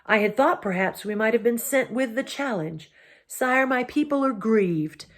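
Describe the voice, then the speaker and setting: Brief: woman playing a tiny male mouse knight, shrill and high, formal, chivalrous. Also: lively intonation, measured pace. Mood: earnest. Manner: courtly, formal, touchy about honor.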